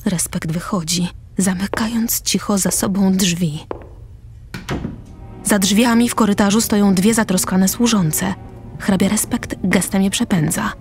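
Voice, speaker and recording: A woman's voice: mid-range voice, unhurried, a little mellow. A woman narrator reading the scripted stage directions of a radio drama. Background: music.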